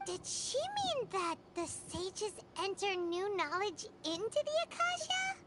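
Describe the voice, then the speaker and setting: A woman voicing a tiny childlike fairy companion in a video game, squeaky, earnest and exclamatory. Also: very animated, slow pace, heavy background noise, full character voice. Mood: puzzled. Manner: refers to herself in the third person, exclamatory.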